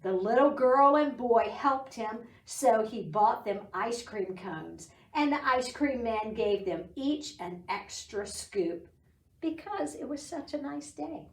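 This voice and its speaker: A middle-aged woman hosting a children's storytime, reading aloud and talking to young children in an animated, encouraging way. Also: fairly high pitch, slow pace. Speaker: library storytime host. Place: small indoor library room. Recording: roomy echo, distant microphone.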